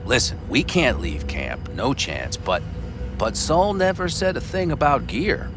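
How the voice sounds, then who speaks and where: A man's voice: mid-range voice, very animated, unhurried, over music. An actor playing a loyal male nomad clansman, plain-spoken and firm but apologetic, in video game dialogue. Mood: firm, reluctant. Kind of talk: naturalistic video game acting.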